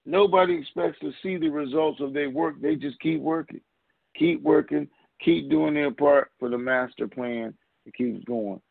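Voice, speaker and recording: Gravelly man on a muffled conference call. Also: on the high side, moderate pace, no room sound. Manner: emphatic, repetitive, rhetorical questions. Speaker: call show co-host. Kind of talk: informal opinion talk on conference call. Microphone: phone conference line.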